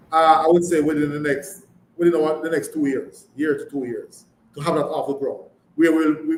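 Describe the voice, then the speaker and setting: A man's voice: fairly high pitch, darkish tone. Echoey Jamaican man, company executive. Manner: emphatic, repeats words and phrases. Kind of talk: live earnings call answers. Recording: echoey room, background noise.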